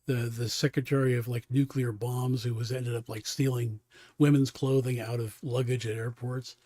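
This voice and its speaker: A man chatting on a podcast, enthusiastic but halting, stacking up fillers as he talks. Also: medium pitch, little pitch movement, mellow, dry recording. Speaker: co-host. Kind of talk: casual podcast chat.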